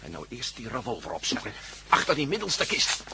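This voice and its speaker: An actor playing a gruff, urgent captive adventurer in a Dutch radio play, speaking tersely and with strain. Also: male voice, medium pitch, huge pitch swings, fairly quick, very noisy. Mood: determined.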